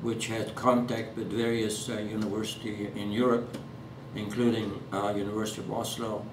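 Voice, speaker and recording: An elderly man in his eighties from Norway, speaking English with a Norwegian accent in an oral history interview at home, halting with many fillers and restarts. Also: on the low side, flat delivery. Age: 80s. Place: living room at home. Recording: echoey room, distant mic, background hiss.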